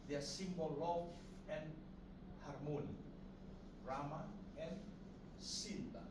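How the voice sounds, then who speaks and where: An Indonesian-accented man with a slightly high voice gives an informal craft demonstration. He speaks simple, broken English in short phrases. He is recorded at a distance in an echoey room with loud noise behind him. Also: steady tone, slow pace. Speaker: puppet maker and master giving a demonstration. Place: puppet studio, indoors.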